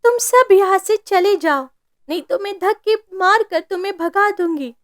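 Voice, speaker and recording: A woman's voice: extremely high pitch, moderate pace, a bit bright, no room sound, clean studio sound, pitched above the performer's own voice. A woman voicing a loving, fiercely protective mother bird in a cartoon story, speaking with tender, emphatic feeling. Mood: indignant. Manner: tender, emphatic, indignant when her child is threatened. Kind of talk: Hindi cartoon story voice acting.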